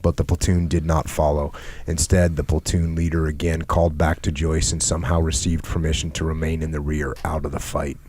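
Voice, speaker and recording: A man with a very low voice reads aloud from a book as a podcast host. His pitch barely moves from phrase to phrase, staying deep and even. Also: brisk, few pauses, a little hiss or hum. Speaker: podcast host reading a war memoir aloud.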